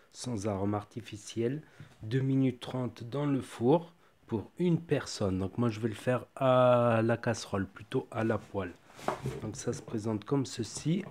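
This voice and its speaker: Guy with a cold tasting food at home, lowish voice, easygoing chatter. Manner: relaxed, chatty, thinking aloud with fillers. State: has a cold. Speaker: food reviewer. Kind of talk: casual product tasting review. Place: home kitchen table.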